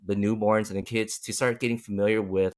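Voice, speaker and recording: A man's voice: fairly low pitch, flat delivery, brisk. A man hosting a casual interview, rambling with many restarts and fillers. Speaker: podcast host.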